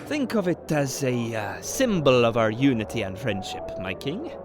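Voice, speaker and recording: Male actor as mischievous trickster god, very animated swooping pitch, mock-courteous, teasing, audio drama, noisy ambience behind. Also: normal speed, lightly acted. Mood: smooth, courtly.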